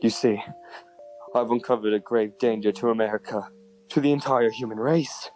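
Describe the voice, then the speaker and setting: A man playing an earnest nineteenth-century gentleman inventor with formal, old-fashioned diction in a comic dub. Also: medium pitch, fairly even intonation, moderate pace, faint music behind, lightly acted, low-quality recording. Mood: earnest, weary.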